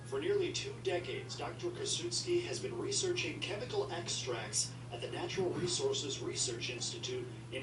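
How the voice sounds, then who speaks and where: A man reading a scripted TV news report in crisp broadcast delivery. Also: on the high side, far from the mic. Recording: TV audio played into an echoey room, noisy.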